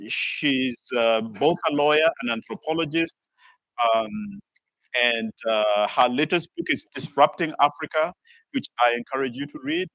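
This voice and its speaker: A man's voice: medium pitch, a bit bright, no echo, poor audio. A man moderating a panel, introducing the speakers with many ums and uhs.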